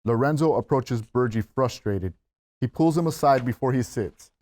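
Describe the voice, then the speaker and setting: A man's voice: mid-range voice, animated, moderate pace, darkish tone. A man reading out stage directions as scripted narration at a screenplay table read.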